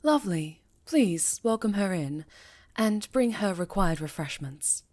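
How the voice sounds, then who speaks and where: A woman playing a stern, commanding witch who leads a coven, speaking formally and with measured authority in an ASMR fantasy roleplay. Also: medium pitch, lively intonation, darkish tone. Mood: gracious, formal. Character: stern, commanding female high enchantress leading a witch coven.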